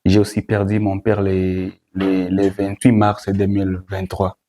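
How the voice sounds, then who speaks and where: Mellow, lowish young Congolese man. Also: completely flat delivery, measured pace, slight background noise. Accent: Congolese French. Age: young adult. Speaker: guest, gospel musician. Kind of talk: interview answers.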